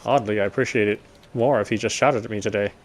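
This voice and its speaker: A man reading a visual novel's text aloud as dramatic narration, solemn and a little halting. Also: lowish voice, steady tone. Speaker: game player reading story text aloud.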